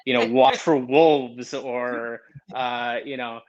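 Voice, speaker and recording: A man from Montreal chats casually as a podcast guest, rambling through his answers with plenty of fillers and restarts. His voice sits at a middling pitch. The recording sounds a little dull and lo-fi. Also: fairly even intonation, slow pace. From Montreal, Canada. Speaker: band member guest.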